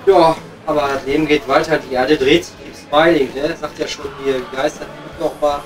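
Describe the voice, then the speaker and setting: A man's voice: fairly high pitch, steady tone, brisk, lightly acted. A man playing a grumbling, womanising coal miner with a Ruhr German accent, rambling wryly in an improvised comedy audio play. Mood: wry banter. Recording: slightly distant mic, music behind.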